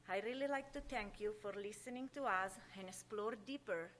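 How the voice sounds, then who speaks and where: A woman's voice: medium pitch, slight background noise, rough recording. A woman speaking at a meeting's public comment, delivering an upbeat prepared statement. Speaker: parent giving public comment.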